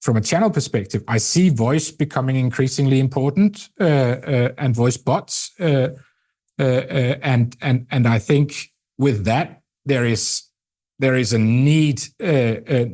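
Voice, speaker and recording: Halting Danish-accented man, mellow voice. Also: medium pitch. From Denmark. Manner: halting, with many repeated words and fillers. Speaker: company CEO, guest. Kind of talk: interview conversation.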